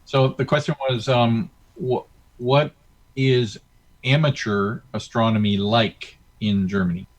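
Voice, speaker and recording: A man moderating questions in an online meeting, talking informally and haltingly. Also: medium pitch, fairly even intonation, poor audio. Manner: hesitant, halting. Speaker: question moderator at an astronomy club.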